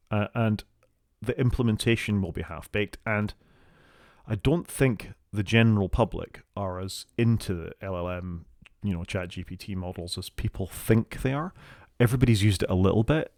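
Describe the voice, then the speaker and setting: Scottish guy chatting tech on a podcast, low voice, dry close sound, kinda wry, trails off quiet. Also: gravelly, dry recording. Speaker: podcast co-host. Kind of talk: casual tech chat.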